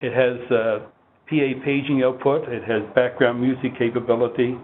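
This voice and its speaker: A man presenting technical product training in a measured, explanatory, instructional way. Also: mid-range voice, little pitch movement. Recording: muffled phone-like line with heavy noise.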